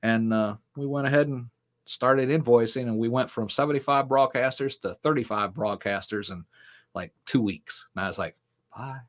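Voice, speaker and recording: A man's voice: medium pitch, moderate pace. A middle-aged man, a ministry founder and interview guest, telling long stories in casual talk with many fillers and the odd laugh. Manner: rambling storyteller with frequent fillers and laughs.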